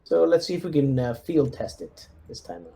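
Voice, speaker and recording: A man's voice: mid-range voice, big pitch swings, normal speed, gravelly, very noisy. A man playing a tabletop roleplaying game, talking casually through ideas and thinking aloud with hesitations. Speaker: tabletop player playing a military lieutenant.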